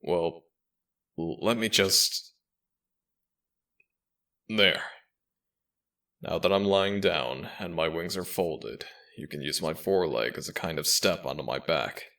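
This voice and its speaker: Man as a deep, unhurried, smitten dragon prince. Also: creaky, sharp tone, exaggerated voice. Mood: caring, encouraging. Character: smitten young male dragon shifter prince, tender, eager. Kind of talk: ASMR romantic audio roleplay addressed to listener.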